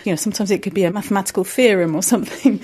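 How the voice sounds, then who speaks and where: A woman's voice: mid-range voice, racing, darkish tone, breathy edge. Talkative woman explaining her work. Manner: talkative, explains at length with fillers. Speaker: broadcaster's pronunciation linguist. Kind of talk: casual interview answers.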